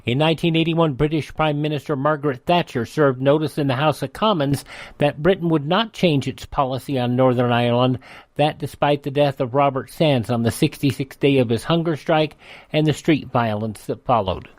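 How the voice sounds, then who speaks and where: A man's voice: mid-range voice, moderate pace, no room sound. An American man hosting a radio segment, reading a this-day-in-history script with occasional ad-libbed asides. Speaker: radio host.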